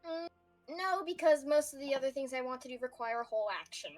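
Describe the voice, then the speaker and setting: A woman's voice: high voice, fast talker, faint music behind. A woman playing a tabletop role-playing game, chatting casually. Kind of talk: casual gaming chat.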